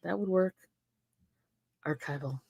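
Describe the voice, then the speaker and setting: A woman's voice: on the low side, a little slow, vocal fry, a little mellow, breathy edge, no room sound. Playful older woman with a dry close sound. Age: 60s. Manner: repeats catchphrases, laughs often, playful banter. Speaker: co-host. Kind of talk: casual chat. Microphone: desk microphone.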